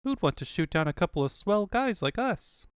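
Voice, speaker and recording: A man's voice: high-pitched, sing-song, moderate pace, clean tone, dry recording, studio quality. A man voicing a chipper young male fox sidekick with quippy, innocent questions in a comic book fandub. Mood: curious, chipper.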